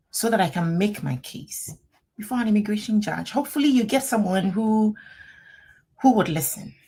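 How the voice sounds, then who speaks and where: A middle-aged woman attorney, answering viewers' questions on a live stream in a chatty, animated way. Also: mid-range voice. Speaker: immigration attorney.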